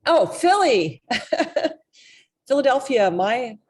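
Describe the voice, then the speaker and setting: A woman's voice: fairly high pitch, big pitch swings, slow pace, darkish tone. Chatty older woman speaker. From Atlantic City area, United States. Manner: chatty and friendly, with frequent laughter. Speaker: guest speaker and trainer. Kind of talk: casual conference chat. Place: home on video call.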